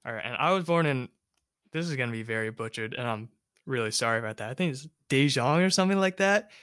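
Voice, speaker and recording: Bright, clear-voiced young man. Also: medium pitch, quick pace, no echo, clean studio sound. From United States. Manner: relaxed, rambling, many fillers. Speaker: host. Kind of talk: casual chat.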